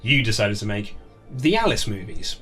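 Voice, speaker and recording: A man's voice: lowish voice, normal speed, soft background music. A British man, an author being interviewed, talks casually and enthusiastically about his subject. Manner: enthusiastic, animated film-fan talk.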